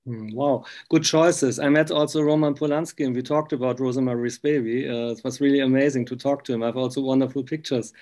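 A middle-aged man with a German accent, chatting as an interviewer in effusive, halting phrases. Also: mid-range voice, fairly even intonation, darkish tone. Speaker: interviewer, film journalist. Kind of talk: casual interview conversation.

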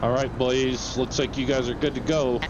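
A man acts as a genial deputy with a mid-pitched voice in a game role-play. He is casual, joking and a little hesitant, with clear vehicle noise in the background. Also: flat delivery, moderate pace, highs cut off, natural voice. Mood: businesslike, friendly. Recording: noisy game voice chat, vehicle ambience.

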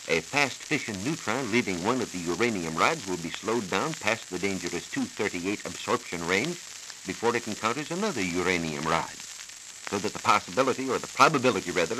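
An American man with a fairly low voice gives a formal, scripted science explanation in the manner of 1940s educational radio. His pitch moves animatedly as he teaches. The old transcription disc hisses and crackles clearly throughout. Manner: measured, didactic, formal. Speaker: science reporter on a radio programme. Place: radio studio.

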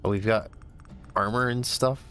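A man's voice: lowish voice, fairly even intonation, moderate pace, fairly bright tone, slight background noise. A man with a stuffy, congested voice, casually thinking aloud as he plays a game for the first time. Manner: thinking aloud, easygoing, upbeat exclamations. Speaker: gamer playing a new game. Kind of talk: casual let's-play commentary. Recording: game music behind.

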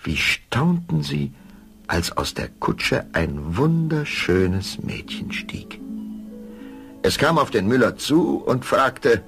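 A man's voice: mid-range voice, sing-song, slow pace, gravelly, a bit bright, faint music behind. A man narrating a scripted fairy tale as a storyteller.

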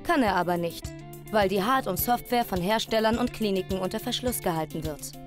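A woman's voice: medium pitch, a little fast, over music. A woman reading a scripted documentary voice-over in pronunciation. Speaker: documentary narrator.

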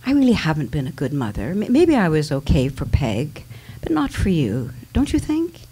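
A vain, blunt, ailing mother, read on stage by a woman, with a husky, rather low voice. Her intonation swings widely. She is theatrical and dismissive toward her grown daughter. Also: huge pitch swings, moderate pace, warm tone, full character voice. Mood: probing. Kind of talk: dramatic reading of a novel on stage. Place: small dimly lit stage venue. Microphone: microphone on a stand.

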